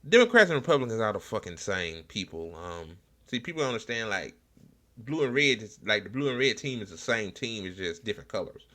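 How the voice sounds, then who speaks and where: An American man with a slightly low voice and a sharp, bright edge hosts an off-the-cuff political commentary show. He is blunt and slangy, swears freely, and moves haltingly through his points, often repeating phrases. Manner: halting, repetitive delivery. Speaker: online political talk show host. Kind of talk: casual unscripted political commentary.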